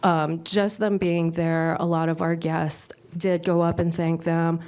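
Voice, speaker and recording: A woman presenting to a city council at a podium microphone, her voice a little scratchy from a cold, making an upbeat, earnest pitch with frequent ums. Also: little pitch movement, a little slow, darkish tone, clean tone. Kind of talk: public presentation at a council meeting.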